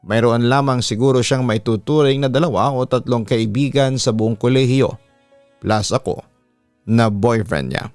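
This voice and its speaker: Smooth Filipino male storyteller, dry studio sound. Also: medium pitch, normal speed, no echo. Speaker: radio announcer hosting a horror story show, reading listeners' letters. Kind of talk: scripted first-person story narration. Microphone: close studio microphone. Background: soft background music.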